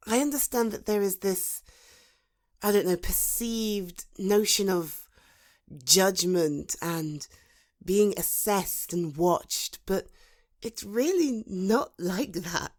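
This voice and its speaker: A woman plays a supportive British female friend in an intimate ASMR audio roleplay. Her voice sits at a medium pitch. She talks soothingly and encouragingly, protective of the listener, leaving thoughtful pauses as in a real one-to-one chat. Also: a little mellow. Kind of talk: ASMR roleplay, conversational one-sided dialogue.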